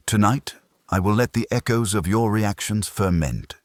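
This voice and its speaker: A man voicing an imperious, cerebral private detective in an audiobook, speaking in formal, pedantic, measured pronouncements. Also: on the low side, no echo, studio quality, voice pushed up, natural voice. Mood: grave, deliberate. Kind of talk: audiobook character dialogue.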